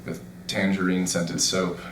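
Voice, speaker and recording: A bewildered man waking in a simulation, played by a male actor in a naturalistic audio drama. His voice is fairly low and weary, and he halts and stammers as he feels his way through sentences. The sound is echoey with slight background noise. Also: flat delivery, close to an everyday voice. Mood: wistful, puzzled. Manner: hesitant, halting, stammering.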